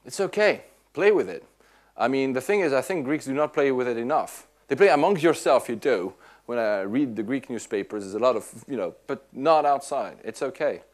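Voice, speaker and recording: Swiss guy at a tech q&a, animated, lots of restarts, middle pitch. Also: moderate pace. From Switzerland. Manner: animated, many restarts and repeats. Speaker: tech speaker answering questions. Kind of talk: casual Q&A talk. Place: lecture room at an institute.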